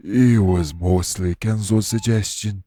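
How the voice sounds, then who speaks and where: A man playing a calm, courteous iguana-shifter archaeologist in an audio roleplay, speaking in a formal, measured, reassuring way. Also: lowish voice, background noise, close to an everyday voice. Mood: measured, explanatory. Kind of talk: audio roleplay acting addressed to the listener.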